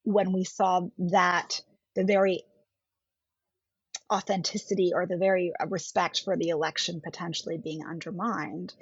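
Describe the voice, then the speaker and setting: Middle-aged woman, German first language, husky voice, measured guest speaker, dull lo-fi podcast sound. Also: fairly low pitch, little pitch movement, no echo. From India. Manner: thoughtful, measured, often rephrasing. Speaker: political scientist guest. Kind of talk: podcast interview.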